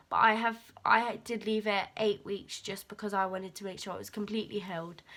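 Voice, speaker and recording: A teenage girl chatting to her camera at home, rambling and filling gaps with ums and likes. Her voice is a little croaky and sniffly from a cold, picked up by the camera's mic in a room. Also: medium pitch, fairly bright tone. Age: teens. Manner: rambling, chatty, lots of fillers. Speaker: vlogger. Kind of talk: casual chat to camera.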